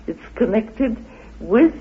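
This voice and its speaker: A man speaking as an interviewed guest, explaining emphatically and haltingly, repeating phrases for weight. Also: high voice, animated, husky. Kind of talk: impassioned explanatory discussion. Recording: narrow, tinny old radio recording.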